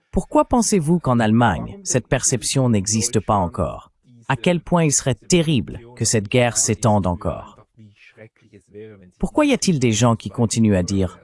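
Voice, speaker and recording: A man's voice: on the low side, a little mellow. A man acting as interviewer, giving commentary and putting questions in a dubbed interview.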